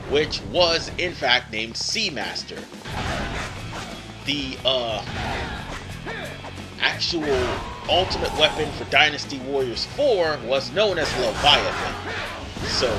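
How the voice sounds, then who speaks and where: Tired rambling man over game music. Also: mid-range voice. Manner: rambling, digressive, self-correcting. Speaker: gaming video host. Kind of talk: casual let's play commentary. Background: video game music.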